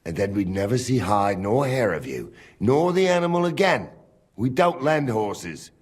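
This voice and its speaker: A gruff old captain of the castle guard in a medieval video game. His voice is husky and moves up and down freely as he talks, blunt and teasing in everyday speech. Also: mid-range voice, big pitch swings, moderate pace. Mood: gruff, dry. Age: older. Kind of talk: video game dialogue acting.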